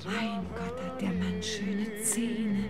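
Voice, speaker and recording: A woman's voice: medium pitch, fairly even intonation, slow pace, close to an everyday voice. A woman playing a gushing, easily exasperated wife in a comic radio play. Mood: swooning. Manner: gushing, dramatic, scolding. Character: swooning, exasperated wife besotted with TV singer. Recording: old radio play with music behind.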